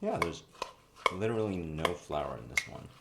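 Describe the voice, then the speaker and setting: A man playing a gentle, playful dad in a cozy baking audio roleplay, talking casually and softly to the listener. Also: sits low, very animated, moderate pace, vocal fry, full character voice. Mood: cozy, gently playful. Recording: faint noise, kitchen sounds.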